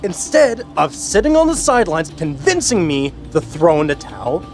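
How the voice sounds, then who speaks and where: A man acts out a large, boastful young god with a short fuse in an audio drama. His pitch swings dramatically as he snaps and shouts rudely. Faint music plays underneath. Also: big pitch swings, moderate pace. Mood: gruff, impatient. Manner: shouting, rude, snapping. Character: brash hot-tempered large young god, bloodied and boastful.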